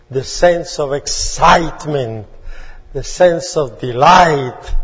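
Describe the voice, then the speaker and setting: A man's voice: on the high side, breathy edge. A man from Italy with an Italian accent, greeting a congregation as a guest preacher, with playful asides. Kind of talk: sermon greeting. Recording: dull sound with long hall reverb.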